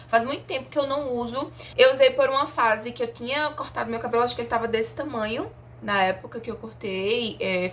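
A young woman with a slightly high voice, chatty and enthusiastic as she unboxes gifts for her viewers. She talks straight to a camera in a small room at home. A faint hiss of noise sits behind her. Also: a bit bright. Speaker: beauty YouTuber. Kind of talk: casual product unboxing vlog. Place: small bedroom studio indoors.

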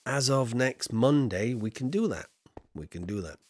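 Tired man streaming with lively pitch. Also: moderate pace, no room sound. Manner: jokey, mimics accents in short bursts, counts under his breath. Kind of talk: casual live-stream chat while building.

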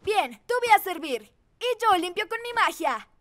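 A woman voicing a small, cautious magical owl sidekick in a children's cartoon dub, fretful and squeaky. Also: sing-song, moderate pace, a bit bright, slight background noise, full character voice. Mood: eager.

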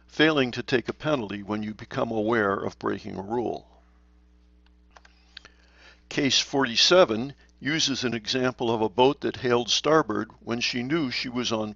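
Man, instructor, scripted slide narration, creaky voice, measured delivery, dull dry recording. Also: medium pitch, a bit bright, no echo. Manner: measured, instructional, poses questions to the learner. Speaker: sailing instructor.

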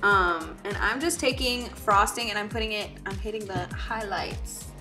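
A woman's voice: mid-range voice, moderate pace, bright, forceful tone, faint music behind. A woman in her thirties chatting casually and teasingly while doing her makeup at a studio table. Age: 30s. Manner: giggly, upbeat, teasing. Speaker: makeup brand owner and host. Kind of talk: casual get-ready-with-me chat.

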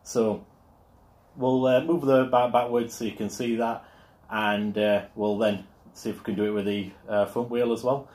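A man's voice: fairly low pitch, steady tone, normal speed, a little mellow. A man casually demonstrating a product in his home garage, filming on his mobile phone and talking with frequent ums and uhs. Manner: hesitant, many ums and uhs. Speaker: product reviewer. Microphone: mobile phone camera. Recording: echoey garage.

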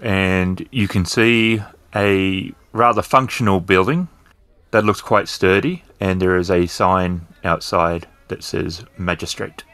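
Male game master, low register, dry sound, descriptive and a little hesitant. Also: steady tone, slow, a bit bright, no echo. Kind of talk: narrating a tabletop roleplaying game.

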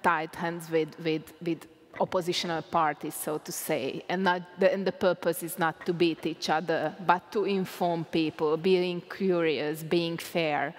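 A woman's voice: lowish voice, level tone, measured pace. A woman, answering audience questions on a panel, often searching for English words and restarting. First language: Hungarian. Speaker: panel guest, journalist. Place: stage of a large hall. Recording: long hall reverb.

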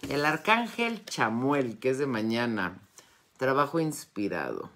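Mexican woman, fifties, lively varied pitch, effusive, chatty live host, casual style. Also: unhurried. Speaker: wellness and metaphysics teacher hosting a live Q&A. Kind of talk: casual live chat with followers. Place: indoors, home study with bookshelves. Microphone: phone or tablet at arm's length.

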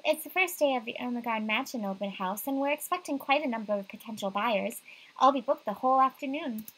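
A woman's voice: fairly high pitch, lively intonation, fairly quick, fairly bright tone, husky, rough recording. A woman voicing a spirited, easily riled young woman in a visual novel. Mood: upbeat.

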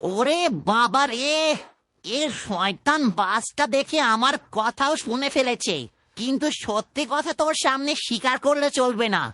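A scrawny, sly cartoon coward, high and sharp-toned. The delivery whines with fear, recorded close and dry. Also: female voice, a little slow, dry recording. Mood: grumbling fear. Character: cowardly, sly, skinny underling in a fairytale. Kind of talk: cartoon fairytale voice acting.

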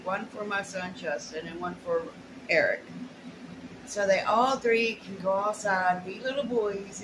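Slow-talking middle-aged woman, noisy recording. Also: medium pitch. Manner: folksy, colloquial, unhurried. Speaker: haul vlogger. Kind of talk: casual unboxing chat. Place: small room at home, indoors. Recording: noisy home camera recording.

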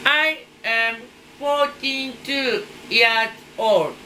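A woman's voice: a little high, sharp tone, a little hiss or hum. A woman in her forties with a Japanese accent, a native Japanese speaker, hesitantly repeating phrases in an online English lesson, recorded by a webcam at a desk in a small room. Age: 40s. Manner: hesitant, repeats phrases slowly while learning. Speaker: English learner.

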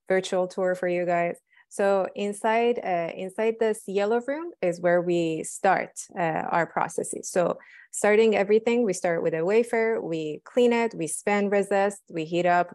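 A young woman scientist walking through a cleanroom fabrication lab, explaining the tools with many fillers and pauses. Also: on the low side, darkish tone, no room sound, studio quality. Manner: hesitant, many fillers, technical. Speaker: lab scientist giving a tour.

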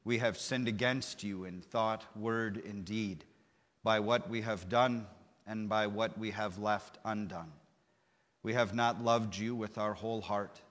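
A middle-aged man, a pastor, leading the liturgy in a measured, solemn way at a lectern microphone in a church sanctuary. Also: on the low side, steady tone, a bit bright. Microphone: lectern mic. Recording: long church reverb.

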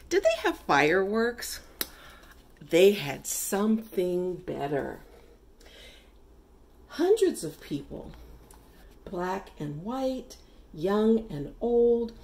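A middle-aged woman reading a children's picture book aloud with deliberate, emphatic, dramatic phrasing. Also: on the low side, a little mellow. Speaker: read-aloud storyteller.

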